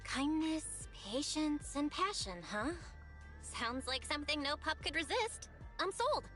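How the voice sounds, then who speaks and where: A woman's voice: high voice, expressive, unhurried, a bit bright, soft background music. A woman voicing a small, matter-of-fact companion in an anime video game, wry and questioning. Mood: amused.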